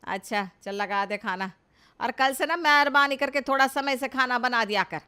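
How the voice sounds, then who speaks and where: Woman acting a sharp, nagging village mother-in-law. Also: sits high, normal speed, clean tone. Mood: scolding. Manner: scolding, complaining, sarcastic. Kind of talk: Hindi animated family comedy story acting.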